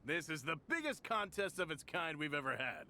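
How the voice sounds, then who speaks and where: Polite military knight: mid-pitched, crisp-toned and bright, some fry, formal game delivery. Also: male voice, sing-song, fairly quick, slight background noise, full character voice. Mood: pleased. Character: courteous, formal male knight officer. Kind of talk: video game voice acting.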